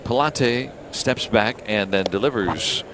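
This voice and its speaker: A man calling a baseball game live on the radio as play-by-play announcer, in measured clipped phrases with pauses. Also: fairly low pitch. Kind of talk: live radio sports commentary. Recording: noisy outdoor ballpark radio broadcast. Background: steady ballpark ambience.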